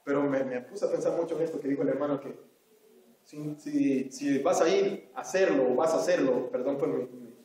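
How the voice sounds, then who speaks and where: A man's voice: a little high, fairly quick, warm tone. Salvadoran man preaching in echoey church. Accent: Salvadoran Spanish. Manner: earnest, repeats phrases, addresses listeners as brothers. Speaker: Sunday school teacher. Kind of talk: sermon. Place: church hall. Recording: very echoey hall, voice from far off.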